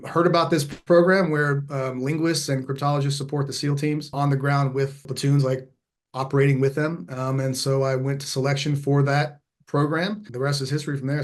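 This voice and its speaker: Guy in his 40s, from texas, talking through his past on a podcast, lots of ums and restarts, plain mid voice. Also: medium pitch. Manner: halting, with many fillers and restarts. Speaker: podcast guest, former special operations veteran. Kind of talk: reflective conversational storytelling.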